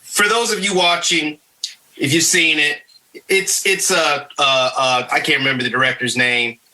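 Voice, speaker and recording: A man's voice: on the high side, steady tone, normal speed, sharp tone, rough recording. An American man from Michigan hosting a casual history podcast, explaining things in an emphatic conversational way. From Michigan, United States. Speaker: podcast host.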